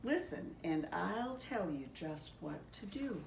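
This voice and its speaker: Slow-paced woman reading a story, echoey room. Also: on the low side, animated, gravelly. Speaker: pastor reading a children's story aloud. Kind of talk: read-aloud storytelling. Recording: echoey room, distant mic, faint noise.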